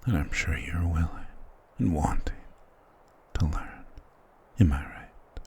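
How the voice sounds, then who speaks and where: A man's voice: rumbling low, takes it slowly, dark tone, slightly rough, exaggerated voice. A man playing a dominant, doting vampire lord in an intimate ASMR roleplay, speaking tenderly and possessively with formal endearments. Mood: possessive, coaxing.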